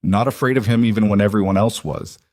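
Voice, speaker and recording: A man's voice: on the low side, fairly even intonation, fast, darkish tone, dry recording, lightly acted. A frank, wry woman, sister to a mafia boss, in an audio romance story, voiced by a man. She talks directly and reflectively. The recording is very dry, with no room sound. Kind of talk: audiobook character dialogue.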